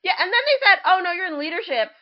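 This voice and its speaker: A young woman with a high, animated voice reminisces casually as a podcast co-host. She is exclamatory and hammers points home by repeating them. The recording sounds a little muffled and lo-fi. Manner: exclamatory, repeats phrases for emphasis, quick to laugh. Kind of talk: casual chat with a friend, reminiscing.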